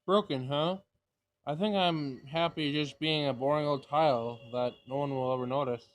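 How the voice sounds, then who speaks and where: A man's voice: fairly high pitch, steady tone, moderate pace, natural voice, poor audio. A man voicing a sardonic young amateur detective and teasing big brother, reading visual novel dialogue aloud in a casual way. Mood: playful, sarcastic.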